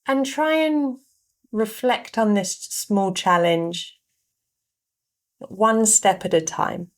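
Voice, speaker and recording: A woman guiding a meditation, giving gentle instructions in short phrases with pauses between them. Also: mid-range voice. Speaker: meditation guide. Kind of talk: guided meditation narration.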